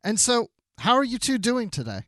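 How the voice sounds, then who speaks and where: A man's voice: extremely high pitch, sing-song, normal speed, fairly bright tone, no echo, clean studio sound. A man co-hosting a podcast in casual chat, with a playful, joking manner. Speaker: podcast co-host.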